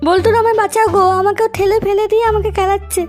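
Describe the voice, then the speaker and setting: A man voicing a hapless, hot-headed young troublemaker in an amateur comedy cartoon, whiny and excitable, with a raised-pitch effect. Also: racing, faint music behind. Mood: quarrelsome.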